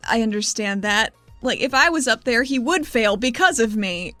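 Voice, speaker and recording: A woman's voice: a little high, animated, moderate pace, bright, forceful tone, no echo, faint music behind. A woman playing an easygoing, irreverent and supportive woman in a tabletop game, in casual, slangy speech. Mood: easygoing, reassuring.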